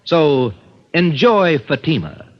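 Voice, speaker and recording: An American man delivering an emphatic, polished commercial pitch as a 1950s radio announcer. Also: big pitch swings, slow. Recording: muffled vintage 1950s radio broadcast.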